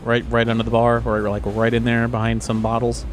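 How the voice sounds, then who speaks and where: A man playing a tabletop game, talking through his plans casually and haltingly as he thinks aloud. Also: medium pitch, completely flat delivery, faint music behind. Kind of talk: casual in-character game chat.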